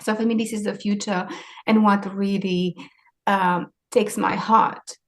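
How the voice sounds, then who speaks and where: A woman's voice: mid-range voice, fairly even intonation, a little slow. A woman in her forties with a Romanian accent, answering interview questions in a reflective, hesitant way. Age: 40s. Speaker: interview guest, maritime sustainability leader.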